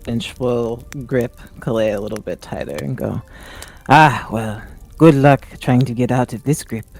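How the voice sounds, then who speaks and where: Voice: medium pitch, a little slow, a little mellow, soft background music. A tabletop roleplaying player improvising narration and dialogue in a casual, sweary way.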